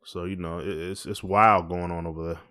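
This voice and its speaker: A middle-aged American man with a fairly low-pitched voice. He talks like a podcast host in a relaxed conversation, reflective and colloquial in his phrasing. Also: normal speed. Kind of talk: casual chat.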